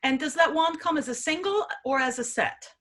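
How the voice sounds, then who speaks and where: A woman moderating a webinar over a video call, reading out audience questions in a brisk, matter-of-fact way. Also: high-pitched, fairly bright tone.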